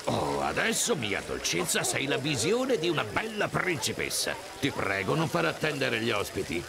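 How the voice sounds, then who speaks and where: A pompous, doting middle-aged tycoon in a cartoon game. He booms grandly in a gravelly voice that swings hugely up and down. A crowd is audible behind him. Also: wildly swooping pitch, moderate pace. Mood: coaxing. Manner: booming, grandiloquent. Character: big, pompous, doting rich Southern father. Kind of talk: animated video game character acting.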